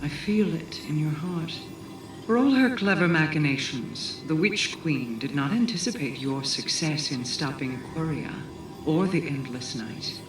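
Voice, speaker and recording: A guarded, calculating queen delivers a solemn video game monologue. Her words are formal and commanding, echoing as if from a distance over clear music. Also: low-pitched, expressive, a little slow.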